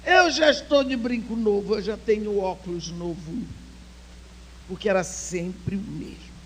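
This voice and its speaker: A woman's voice: medium pitch, unhurried, slight background noise, poor audio. An older woman speaking at a church women's conference, telling a personal story with feeling, dropping to near-whispers and rising to urge her listeners on. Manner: heartfelt storytelling, swings between soft near-whispers and emphatic exhortation.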